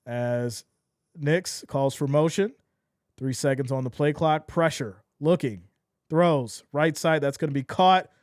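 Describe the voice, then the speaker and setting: Hoarse dude streaming play-by-play, kinda high voice, gets loud then deflated, dry close mic. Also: male voice, unhurried, no room sound. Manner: excitable, swings from shouting to deflated mutters. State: losing his voice. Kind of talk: live fan play-by-play commentary.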